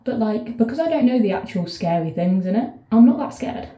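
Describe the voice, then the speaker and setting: A woman's voice: a little high, expressive, fairly quick, mellow. A woman with a southern English accent, chatting casually to camera from a bathtub, rambling through asides and cutting herself off mid-thought. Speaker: vlogger. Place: sitting in a bath, bathroom. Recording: echoey bathroom.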